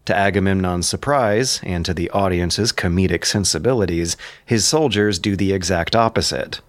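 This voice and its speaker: Deep-voiced man giving literary commentary, dry close sound. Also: moderate pace, dry recording.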